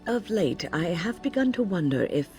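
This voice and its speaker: A creaky, soft-toned earnest woman mage. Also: fairly low pitch, big pitch swings, faint music behind. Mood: pensive. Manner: measured, grave, reflective. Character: earnest, thoughtful woman mage haunted by fear of possession. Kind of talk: video game dialogue acting.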